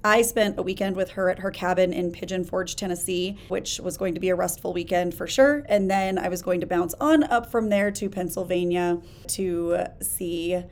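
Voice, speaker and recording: A woman hosting a solo podcast, talking in an energetic, emphatic, conversational way with a hoarse voice while she gets over a chest illness. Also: on the low side, moderate pace. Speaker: podcast host. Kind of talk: casual solo podcast talk.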